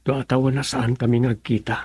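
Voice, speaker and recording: A lovesick anxious young man in an ilocano radio drama, really raspy voice, ilocano accent, close dry sound. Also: mid-range voice, little pitch movement, quick pace, a little mellow, dry recording. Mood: wistful.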